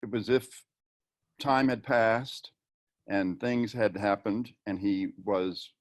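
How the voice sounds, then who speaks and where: A man giving a halting, thoughtful informal comment over a video call. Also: on the low side, steady tone.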